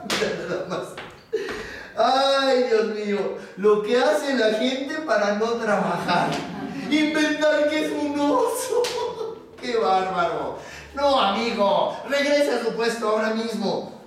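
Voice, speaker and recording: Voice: mid-range voice, animated, measured pace, close to an everyday voice. A storyteller voicing an angry, bad-tempered male factory foreman who barks orders and scolds, in a live story. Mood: laughing, mocking. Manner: barking orders, rudely scolding. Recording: very echoey room, distant mic, faint noise.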